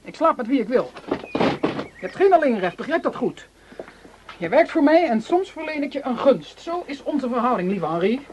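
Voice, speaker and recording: A woman's voice: sits high, big pitch swings, normal speed. Commanding female gang boss, noisy recording. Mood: icy, haughty. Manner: condescending, commanding. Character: cool, domineering female boss of a counterfeiting gang. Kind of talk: radio play acting. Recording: noisy radio play recording.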